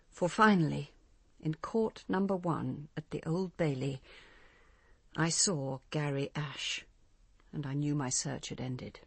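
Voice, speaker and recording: An older grandmother in a radio drama, husky and creaky, speaking close and dry. She is measured and confessional, with grief hardened into cold vengeance. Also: low voice, dry recording, full character voice. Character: bitter grieving grandmother, coldly set on revenge.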